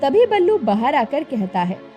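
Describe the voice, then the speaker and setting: Casting: woman storybook narrator, high and very lively, expressive. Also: measured pace, dull audio, soft background music.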